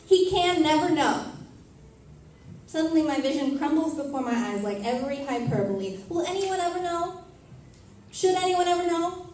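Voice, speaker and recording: A woman reading a first-person monologue on stage in a theatrical, deliberate way, with emphatic delivery and pauses. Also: mid-range voice, mellow. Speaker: reader performing a monologue. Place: live reading event in a tavern. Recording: echoey tavern room, distant mic, noisy.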